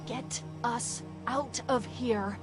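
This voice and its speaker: A woman's voice: a little high, steady tone, extremely slow. A woman playing a brainy, urgent artificial intelligence companion in a video game, speaking articulately and assertively. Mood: alarmed. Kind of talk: video game cutscene acting. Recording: game music behind the voice.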